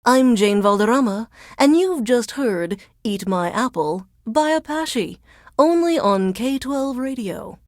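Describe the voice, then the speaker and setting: A woman's voice: a little high, animated, slow, a little mellow, dry recording, clean studio sound. An actress playing a resentful female news reporter forced to work as a radio DJ in a video game, with a formal, dramatic, sardonic newscaster delivery. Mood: theatrical, announcing. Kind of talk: scripted radio DJ links in newsreader delivery.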